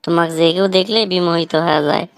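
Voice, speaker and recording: Woman voicing a witty, defiant young village man, low-pitched. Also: fairly even intonation, fast talker, clean tone, dry recording, close to an everyday voice. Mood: mock flattering. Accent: northern Bangladeshi. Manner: argumentative, wry, rhetorical questioning. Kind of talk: comic cartoon dialogue.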